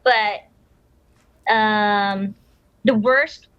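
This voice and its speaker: A woman's voice: medium pitch, fairly even intonation, very slow, clear, resonant tone, dry recording, muffled recording. A woman co-hosting a casual movie review chat, opinionated but hesitant, with many fillers. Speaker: podcast co-host.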